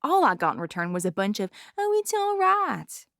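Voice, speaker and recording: A woman's voice: medium pitch, very animated, moderate pace, gravelly, fairly bright tone, no room sound. Worried woman confiding, dry sound. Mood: exasperated. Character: Jo, worried, uneasy woman confiding late at night. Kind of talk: dramatic dialogue in fiction podcast.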